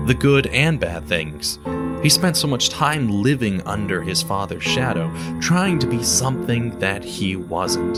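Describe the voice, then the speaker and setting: A man narrating an audio drama in a measured storytelling manner. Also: medium pitch. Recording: loud music bed under voice.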